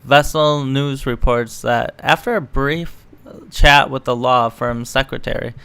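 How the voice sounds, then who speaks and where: A man's voice: medium pitch, steady tone, a little slow, pushed and bright, clean tone, dry recording. A man reading news articles aloud and adding casual, sarcastic, opinionated commentary as an online political commentator. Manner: sarcastic, mocking.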